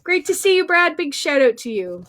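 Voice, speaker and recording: A woman's voice: high-pitched, very animated, normal speed, fairly bright tone. A woman hosting a read-aloud for young children, speaking playfully and directly to them with exaggerated expression. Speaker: children's storyteller and singer hosting a livestream.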